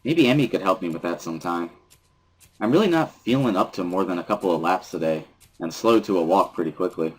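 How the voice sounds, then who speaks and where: A man's voice: low-pitched, lively intonation, brisk. A man giving a first-person scripted narration, reading a visual novel aloud as a dramatic reading. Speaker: reader of a dramatic reading series.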